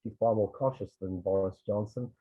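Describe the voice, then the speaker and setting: A man's voice: lowish voice, steady tone, brisk. A Scottish man from Edinburgh, a tour guide and photographer, chatting in a rambling way with many restarts and asides. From Scotland. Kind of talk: casual storytelling on a video call. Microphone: video call. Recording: tinny phone-like video call audio.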